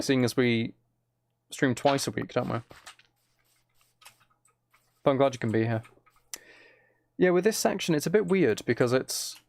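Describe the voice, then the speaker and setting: Gravelly man chatting on a game stream. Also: mid-range voice, no echo. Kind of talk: casual livestream chat while gaming, relaxed ASMR.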